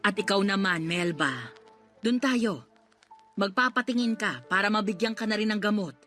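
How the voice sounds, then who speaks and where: A woman's voice: medium pitch, animated, normal speed, a bit bright, faint music behind, close to an everyday voice. A woman playing a pleading, practical and bossy loyal friend in a radio drama. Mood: urging, firm. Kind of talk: Tagalog radio drama acting.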